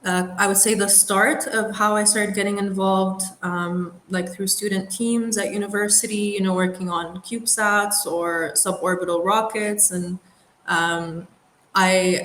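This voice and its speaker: A young woman from the Middle East, an aerospace engineer, telling her story in a thoughtful, unhurried way with light laughs. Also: medium pitch, a bit bright. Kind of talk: reflective interview storytelling. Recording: roomy with faint background noise.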